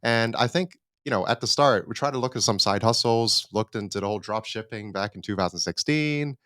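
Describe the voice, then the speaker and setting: A man in his thirties from Hong Kong talks as a guest in an easy, conversational way, sometimes restarting his sentences. His lowish voice is smooth and clean, recorded dry. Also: a little fast, dry recording. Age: 30s. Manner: relaxed, conversational, with repeated starts. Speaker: startup cofounder guest. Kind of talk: casual interview talk.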